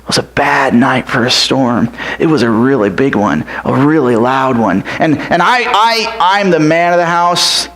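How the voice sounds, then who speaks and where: A man's voice: medium pitch, lively intonation, unhurried, few pauses, fairly bright tone. A man voicing an eager, food-loving eight-year-old boy who tells his story breathlessly and whispers when he counts. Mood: excited, wondering. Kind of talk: character monologue storytelling.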